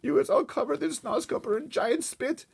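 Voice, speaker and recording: A man voicing a kindly, gentle male giant from a children's storybook, earnest and tender, with muddled grammar. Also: extremely high pitch, moderate pace, vocal fry, voice pushed up, heavily acted. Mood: tender, fussing.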